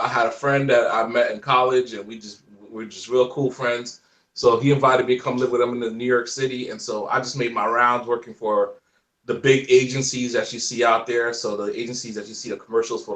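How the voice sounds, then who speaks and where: A man in his forties from Haiti with a light Haitian accent, chatting as a guest in a relaxed, rambling way. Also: mid-range voice, roomy sound, distant mic, poor audio. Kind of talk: casual chat.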